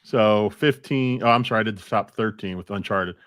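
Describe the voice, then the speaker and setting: A man's voice: fairly low pitch, a little fast, a bit bright, dry recording. A man in his fifties hosting a video game podcast in a casual, chatty way. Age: 50s. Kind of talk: casual chat.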